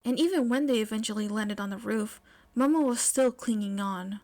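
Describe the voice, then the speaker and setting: Woman, slightly high voice, scripted story narration, fiction reader. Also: moderate pace, a little mellow. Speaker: podfic narrator.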